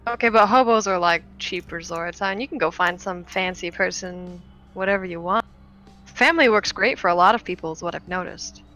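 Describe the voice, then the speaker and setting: A woman's voice: fairly low pitch, a little fast, a bit bright, soft background music, natural voice, poor audio. A woman playing a blunt, nonchalant female vampire in a tabletop roleplaying game, matter-of-fact and flippant.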